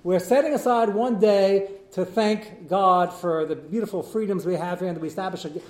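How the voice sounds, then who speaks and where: A man leads a Torah class with a high-pitched voice, moving quickly between read-out Hebrew texts and his own explanations. His voice carries an echo from a roomy classroom. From United States. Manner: animated, reads out Hebrew texts and explains them. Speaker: rabbi teaching a class.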